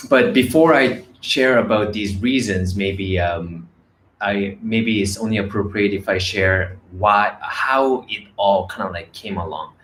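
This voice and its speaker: A man's voice: on the low side, a bit bright, slight background noise. A man, telling his story in a casual vlog with many fillers and restarts. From Korea. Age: 30s. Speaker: vlogger. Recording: echoey room with faint ambience.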